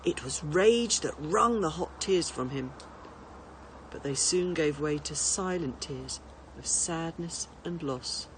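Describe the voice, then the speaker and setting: A woman reading a children's storybook aloud in an expressive narrating style. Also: low voice, slow pace, noisy background. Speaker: teacher reading to pupils.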